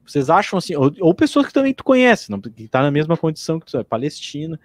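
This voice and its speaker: A man's voice: a little high, sing-song, fast talker, no echo, clean studio sound. A man hosting a casual podcast interview, feeling his way through rambling, tentative questions. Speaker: podcast host.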